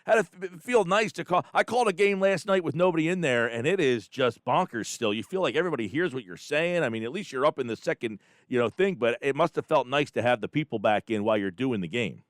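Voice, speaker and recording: A man's voice: mid-range voice, animated, fast talker, hardly any pauses, no echo, clean studio sound. A man hosting sports talk radio in a conversational style, leading an interview.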